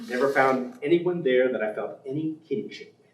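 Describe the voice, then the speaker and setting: Actor as aloof weary professor, echoey stage. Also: male voice, medium pitch, normal speed, slight background noise, natural voice. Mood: guarded, dismissive. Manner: curt, dry, precise, resigned. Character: weary, aloof, bitter suicidal male professor. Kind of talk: staged play reading before an audience. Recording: echoey stage room, distant microphone.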